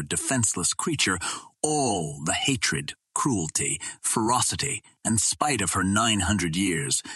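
A man narrating an old adventure novel in a measured, theatrical way, as a scripted dramatic reading. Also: on the low side, a bit bright, husky, no echo, clean studio sound. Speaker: audiobook narrator.

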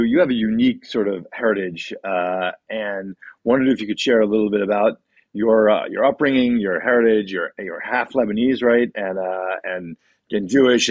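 A man hosting a podcast, asking interview questions in a casual way. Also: on the low side. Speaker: podcast host. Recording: dull lo-fi audio.

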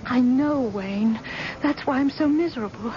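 An actress playing an anxious, unhappy wife in a vintage radio drama, with a fretful, pleading way of talking. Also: female voice, sits high, normal speed, natural voice. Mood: pleading, miserable. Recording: dull, noisy old radio recording.

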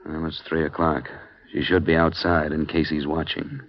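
An actor playing a hard-boiled, wry insurance investigator narrating a 1950s radio drama in a clipped manner. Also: male voice, extremely low pitch, level tone, normal speed. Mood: tense. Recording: dull old radio recording with music.

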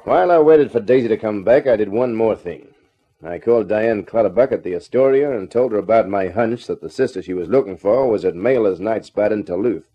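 An actor playing a flirtatious, hard-boiled private detective in a 1950s radio drama, with a slangy, wry, breezy delivery. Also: male voice, on the low side, lively intonation, a little fast, muffled recording, natural voice. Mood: wry narrating. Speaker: actor in the lead part of a detective radio serial. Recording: 1950s radio drama recording, music behind.

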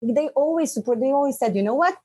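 A woman's voice: high-pitched, expressive, fast, warm tone, slightly rough. A woman from Slovenia, native speaker of Bosnian, chatting as an interview guest with many fillers and restarts. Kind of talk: casual interview chat.

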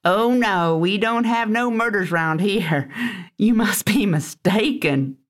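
A man's voice: high voice, slow, a bit bright, voice pushed up. A man voicing a lonely, nervous middle-aged farmer with a folksy rural drawl.

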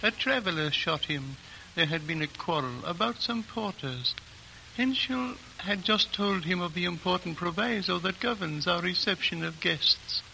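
An actor playing an ancient, gentle High Lama in a 1940s radio drama, speaking slowly and solemnly like a father. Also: lively intonation, gravelly, slight background noise, exaggerated voice, rough recording. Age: older. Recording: old radio broadcast.

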